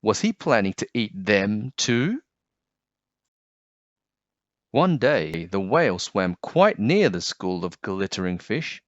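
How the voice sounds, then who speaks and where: A man narrating a children's picture book in an expressive, scripted read-aloud style. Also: lowish voice, unhurried, dry recording, dull audio.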